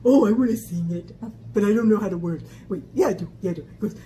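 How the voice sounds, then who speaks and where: A woman voicing an excitable, childlike animal puppet sidekick for kids, eager and silly, repeating words over and over. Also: medium pitch, fast, voice pushed down, close to an everyday voice. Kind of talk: playful children's puppet banter. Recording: clear background noise.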